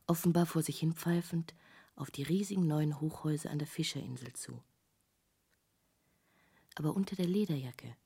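An actress in a literary radio play playing an intense, searching woman painter, speaking intimately and deliberately in a breathy, creaky half-whisper. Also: low-pitched, mellow. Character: intense female painter hungry for forbidden knowledge, musing.

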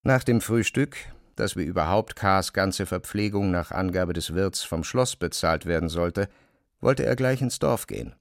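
A man's voice: low voice, normal speed, vocal fry, no room sound. A man reading scripted literary narration as the narrator of a classic novel, recorded close in a studio.

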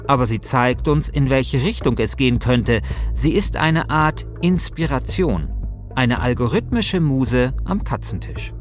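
Man, scripted podcast narrator and host, mid-pitched voice over faint music. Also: animated, a little slow.

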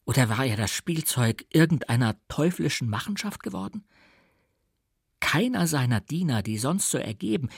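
A man's voice: medium pitch, creaky, husky, no echo. Dramatic male audiobook narrator, close and dry. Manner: theatrical, deliberate, emphatic.

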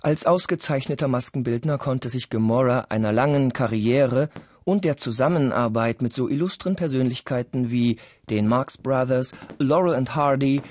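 A man's voice: medium pitch, darkish tone, no echo, studio quality. A man reading scripted storytelling narration for an audio play. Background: music.

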